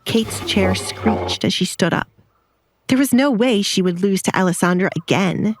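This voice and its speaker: A woman's voice: mid-range voice, expressive, a little slow, slight background noise. A woman narrator reading a scripted dramatic story.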